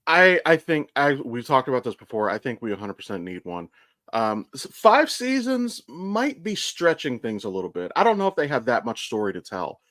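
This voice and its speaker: A man talks as a podcast co-host in a medium-pitched voice. He lays out his fan opinions in casual, sweary chat, with very little room sound around him. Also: sing-song, moderate pace, a bit bright, no room sound. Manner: sweary, explanatory fan talk. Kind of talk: casual chat, opinionated pop-culture commentary.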